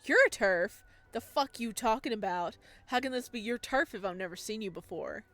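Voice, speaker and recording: A woman voicing a drunk, combative young male college student in a visual novel, loud and slangy. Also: mid-range voice, animated, normal speed. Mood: belligerent.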